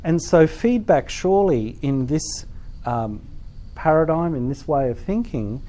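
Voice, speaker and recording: Mellow-voiced man giving a workshop talk. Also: on the high side, lively intonation, unhurried. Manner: thoughtful, many ums and restarts. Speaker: workshop presenter, language teacher. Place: lecture room at a teachers' forum.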